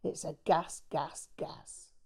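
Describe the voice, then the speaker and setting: A woman's voice: medium pitch, lively intonation, takes it slowly, darkish tone. A woman voicing a brash, foul-mouthed English man who is bitter, manic and full of slang in an audiobook. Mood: defiant. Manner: swearing, slangy, bursts of manic cackling. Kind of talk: audiobook character voice.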